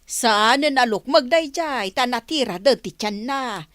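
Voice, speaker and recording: A woman's voice: a little high, animated, measured pace, a bit bright, dry recording, studio quality. A woman with an Ilocano accent voicing a gossipy, nosy older village mother, exclaiming expressively in a radio drama.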